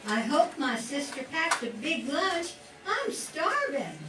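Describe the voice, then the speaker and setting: A woman's voice: sits high, sing-song, slow, heavily acted. A woman playing a proud, chatty young 1940s munitions worker in an amateur radio play. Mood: chatty, playful. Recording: echoey room, distant mic, faint music.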